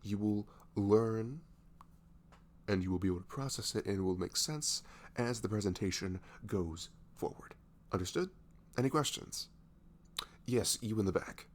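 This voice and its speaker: A man playing a goofy young best friend in an ASMR roleplay, halting and mock-professional. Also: on the low side, close to an everyday voice. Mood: mock-formal, teasing.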